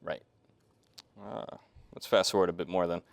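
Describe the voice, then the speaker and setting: A man's voice: low voice, steady tone, moderate pace, creaky. A young man hosting an interview, reading formal questions in an earnest, slightly nervous way. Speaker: host, student interviewer.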